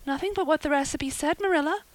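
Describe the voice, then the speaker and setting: A talkative young orphan girl from a storybook. The voice sits very high and pleads with earnest, breathless melodrama. The recording is close and dry. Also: animated, fairly quick, no echo. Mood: anguished.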